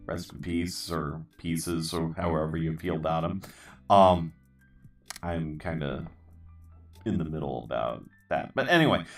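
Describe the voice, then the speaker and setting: A man with a very deep voice talks slowly and haltingly, full of ums and restarts, dropping dry self-deprecating jokes. He sounds a bit far from the mic, with faint music playing under him. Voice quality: voice others call good for voiceover. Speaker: channel host. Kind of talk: casual rambling chat while building.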